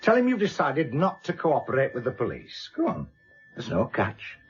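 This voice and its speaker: An actor playing a wry, probing middle-aged British police inspector in a radio drama, unhurried and needling. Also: male voice, mid-range voice, wildly swooping pitch. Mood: coolly needling. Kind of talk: naturalistic radio drama acting. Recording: dull lo-fi radio recording, music behind.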